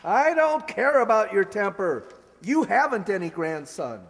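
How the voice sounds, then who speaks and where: A man acts a flustered, earnest young bachelor in a radio comedy. His pitch is high and jumps about as he stammers, and the sound has the long echo of a hall. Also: big pitch swings, measured pace, vocal fry, background noise, exaggerated voice. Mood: exasperated. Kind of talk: old-time radio comedy acting. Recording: echoey hall stage recording.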